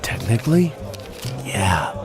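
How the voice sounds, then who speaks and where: An actor playing a reluctant, hesitant underling in an audio drama. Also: male voice, fairly low pitch, big pitch swings. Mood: doubtful. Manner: hesitant, slow. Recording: music and crowd behind voice.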